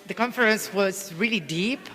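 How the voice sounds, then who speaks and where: A woman police officer from Italy with an Italian accent, speaking English haltingly with many restarts as she searches for words. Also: medium pitch, sharp tone, long reverb tail, soft background music. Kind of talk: interview answers, conference delegate.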